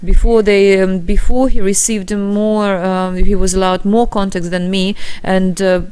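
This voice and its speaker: A woman of forty-three, a guest, answering in a halting way and searching for words. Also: mid-range voice, flat delivery, a little mellow, a little hiss or hum. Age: 40s. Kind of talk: interview answers.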